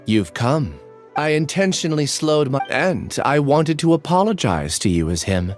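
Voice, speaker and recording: An actor playing a composed, apologetic scholar in a video game. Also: male voice, on the high side, sing-song, normal speed, over music. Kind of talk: video game character acting.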